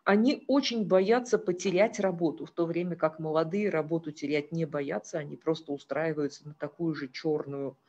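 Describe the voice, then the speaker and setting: A woman with a low, husky voice presents a lecture to an audience, pausing on fillers as she works through her slides. The recording sounds dull and lo-fi. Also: a little mellow. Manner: earnest, hesitant with fillers, occasional laughs.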